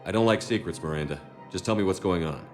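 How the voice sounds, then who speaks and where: A man playing a stern, steady space military commander in a video game, with measured, terse and authoritative delivery. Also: low-pitched, faint music behind, lightly acted. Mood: guarded, firm. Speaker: game protagonist. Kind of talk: cinematic video game acting.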